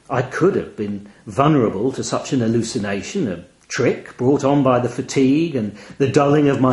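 A man reading a first-person literary short story in an expressive, measured, wry manner. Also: mid-range voice, reverberant. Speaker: audio story reader.

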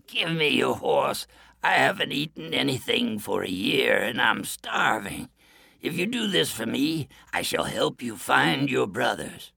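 Storybook wolf, man acting: gaunt, earnest helper, measured delivery, creaky edge. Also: medium pitch, very animated, pushed and bright, no echo, studio quality, pitched above the performer's own voice, full character voice. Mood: weak, pleading. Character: weak, starving wolf, helpful and wise.

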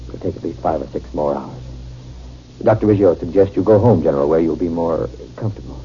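A male actor as an efficient, deferential doctor in a vintage radio play. The delivery is quick and the pitch very low, over a dull recording with some hiss. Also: mellow, lightly acted. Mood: sober, reassuring. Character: brisk, respectful male doctor assisting the surgeon. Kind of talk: 1950s American radio drama acting. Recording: muffled, noisy 1950s radio recording.

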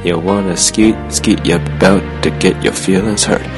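A very deep-voiced man recites rap lyrics as a deadpan dramatic reading. His delivery stays nearly monotone, over clearly audible music. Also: unhurried. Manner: deadpan, recited like plain speech. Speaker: podcast co-host. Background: music behind the voice.

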